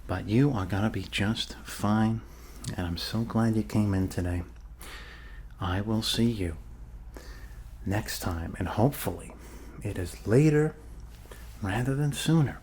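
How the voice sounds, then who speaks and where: A man acts out a friendly doctor in an ASMR roleplay with a lowish voice and a slow, easy pace. He reassures in a rambling, hesitant way and slips in joking asides. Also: darkish tone, a little hiss or hum, lightly acted. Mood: joking, reassuring. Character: friendly rambling male doctor treating a zombie bite. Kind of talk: ASMR roleplay, improvised soft bedside talk.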